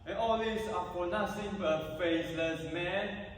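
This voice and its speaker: A man's voice: high voice, measured pace. A man playing a troubled young prince in a declaimed stage reading, recorded in an echoey hall from far off. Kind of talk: reader's theatre declamation. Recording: echoey hall, distant, faint noise.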